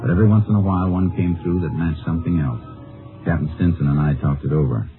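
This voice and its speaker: An actor playing a determined Texas Ranger in a 1950s radio drama, an American with a folksy, clipped, understated delivery. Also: male voice, deep voice, quick pace, faint music behind, natural voice. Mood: dry, matter-of-fact. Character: laconic, determined male Texas Ranger investigator, also narrating. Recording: muffled old radio recording, narrow band.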